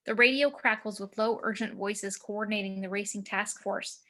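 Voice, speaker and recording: A woman's voice: medium pitch, fairly even intonation, normal speed, sharp tone. A woman reading screenplay action as narrator, with dramatic, clipped phrasing, recorded over a home video call.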